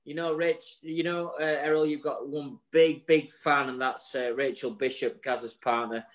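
A man's voice: fairly high pitch, steady tone, a little slow. Chatty man on a video call. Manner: chatty, swearing, jokey. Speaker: co-host. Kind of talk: casual chat show banter. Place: home room with virtual bar backdrop. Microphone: video call webcam.

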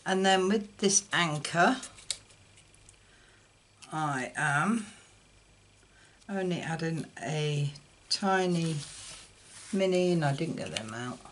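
A woman's voice: low-pitched, lively intonation, slow, pauses often, slight background noise. An older woman chatting through a card-making tutorial in a casual, self-deprecating way. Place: indoor craft table. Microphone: camera over a desk, hands only.

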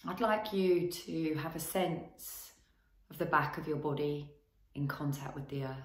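Slow, low-voiced woman guiding relaxation. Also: reverberant. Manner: gentle, unhurried instruction with long pauses between short phrases. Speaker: guided relaxation and movement teacher. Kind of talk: guided body scan meditation.

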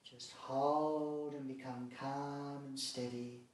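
A man guiding a relaxation practice as a yoga instructor, speaking calmly and soothingly in short phrases with long pauses. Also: mid-range voice. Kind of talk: guided meditation, calm step-by-step instruction. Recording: very echoey room, distant mic.

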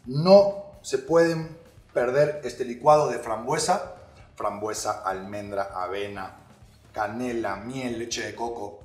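Young male host, casual and upbeat cooking chat, middle pitch, roomy echoey room, soft music bed. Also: slow. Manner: upbeat, playful, addressing viewers directly. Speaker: actor presenting a recipe.

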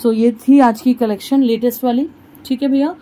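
Woman vlogger, soft mellow tone, chatty, shows fabrics to viewers in a market shop. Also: a little high, steady tone, moderate pace, low-quality recording. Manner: chatty, addresses viewers as guys. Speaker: shopping vlogger. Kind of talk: casual shopping vlog.

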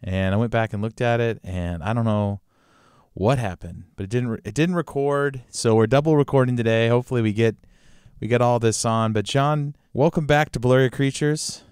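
Smooth-voiced enthusiastic podcast host man. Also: medium pitch, normal speed, darkish tone, dry recording, clean studio sound. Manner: enthusiastic, chatty, repeats words. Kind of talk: casual interview chat.

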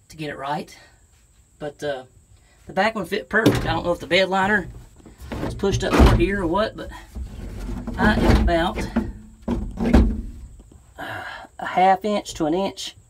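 A man's voice: sits high, very noisy. Folksy Southern American man, thinking aloud. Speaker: truck camping vlogger. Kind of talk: casual DIY chat to camera. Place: outdoors and inside a truck bed by an open garage. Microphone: handheld phone at arm's length.

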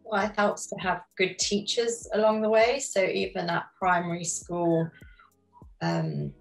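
A middle-aged woman from London, answering interview questions in a measured, reflective way over a video call from her home studio. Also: mid-range voice, steady tone, creaky, faint music behind, rough recording. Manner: reflective, measured, with frequent ums. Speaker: guest artist. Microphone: video call webcam.

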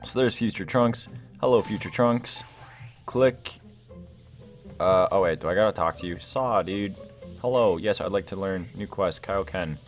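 A young man giving excited, casual gameplay commentary, rambling and thinking aloud in slangy exclamations. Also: deep voice, measured pace, faint music behind. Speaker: gaming video host.

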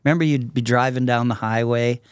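A man in his sixties speaking casually and reflectively as a podcast guest, in a halting, thoughtful way. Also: mid-range voice, fairly even intonation, clean tone, dry recording, studio quality. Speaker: podcast guest, artist and songwriter. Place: small podcast studio with curtains. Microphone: close podcast microphone on a stand.

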